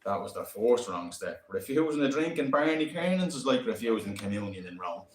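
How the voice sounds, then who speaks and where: Guy narrating, dry and wry, lowish voice, echoey hall. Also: sing-song, fairly quick, slight background noise. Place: community centre hall, live event. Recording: roomy hall echo.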